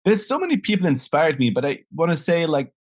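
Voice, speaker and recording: A man's voice: a little high, big pitch swings, a little fast. A middle-aged man from Germany with a German accent, speaking thoughtfully as an interview guest with many fillers and restarts. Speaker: guest, nonprofit founder. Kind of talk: conversational interview.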